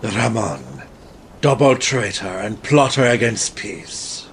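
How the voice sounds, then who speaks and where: A man's voice: fairly low pitch, slow pace, a little hiss or hum. A man playing a grim, righteous swordsman hero, commanding and accusing, in a dramatized audiobook.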